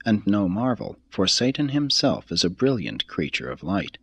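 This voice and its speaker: Low, husky man reading solemnly. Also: sing-song, dry recording. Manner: measured, formal, deliberate delivery. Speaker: audiobook reader. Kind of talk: scripted narration, solemn reading of a religious text.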